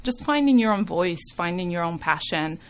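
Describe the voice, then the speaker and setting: A woman's voice: fairly low pitch, lively intonation, moderate pace, a little hiss or hum, poor audio. A middle-aged woman born in Serbia, a music school founder chatting as a radio guest, with many ums. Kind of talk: casual radio interview.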